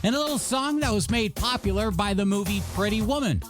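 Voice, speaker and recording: A man's voice: high-pitched, expressive, a little fast. A man working as a radio DJ on a classic hits show, with jokey, punchy announcer patter over music. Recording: radio aircheck with music underneath.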